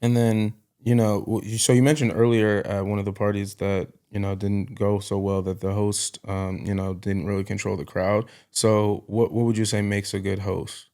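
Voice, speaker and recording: A man hosting a casual interview podcast, asking relaxed, rambling questions with many fillers. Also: lowish voice, normal speed, hardly any pauses. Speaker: podcast host.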